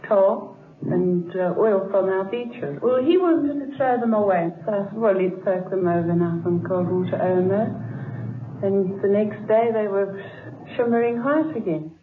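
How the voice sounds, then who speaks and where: A woman's voice: medium pitch, measured pace. Woman, chatty testimonial, echoey, phone-like old radio sound. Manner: chatty, conversational. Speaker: customer in a commercial.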